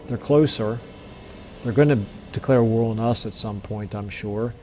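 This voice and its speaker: A man commentating his strategy game play casually, thinking aloud with fillers, his voice going hoarse. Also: on the low side, a little slow, warm tone, a little hiss or hum. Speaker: let's play host.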